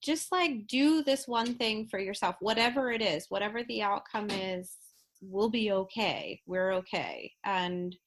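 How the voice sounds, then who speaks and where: A woman in her thirties talks as a podcast guest, her voice at an ordinary middle pitch. She speaks in a halting, heartfelt way, restarting sentences and reaching for words as she goes. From Florida. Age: 30s. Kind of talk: casual podcast conversation.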